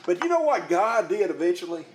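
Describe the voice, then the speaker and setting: A man's voice: high voice, sing-song, brisk, a little hiss or hum. A man preaching to a congregation in a folksy, conversational storytelling way, repeating phrases for emphasis. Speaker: Primitive Baptist preacher and pastor. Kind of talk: sermon to a congregation. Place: indoors, church meeting room. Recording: roomy, echoing meeting-hall sound.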